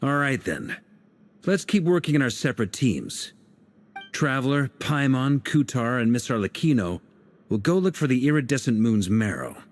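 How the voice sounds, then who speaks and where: A man's voice: mid-range voice, big pitch swings, moderate pace, faint music behind, natural voice. An actor voicing a composed male leader in a game, wry and commanding as he assigns tasks. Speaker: leader planning the team's next moves.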